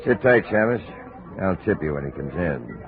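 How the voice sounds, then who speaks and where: Sly american bartender from an old radio crime show, deep voice that swings around a lot, slangy. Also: male voice, very animated, normal speed. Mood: cagey. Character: wisecracking, cagey, streetwise American barroom bartender. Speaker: supporting radio actor. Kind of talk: 1950s radio detective drama acting. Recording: muffled, narrow-band old radio recording.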